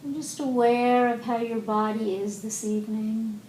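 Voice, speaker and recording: A woman leading a guided meditation as a teacher, speaking gently and unhurriedly with long pauses in an indoor room. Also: fairly even intonation. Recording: roomy echo, faint rumbling background noise.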